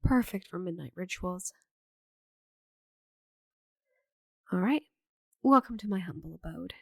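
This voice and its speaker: A woman playing a friendly, chatty, slightly scatterbrained forest witch in an ASMR roleplay audio drama, with a gentle, theatrical manner. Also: fairly low pitch, animated, normal speed, gravelly, no echo, clean studio sound. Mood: cheerful welcoming.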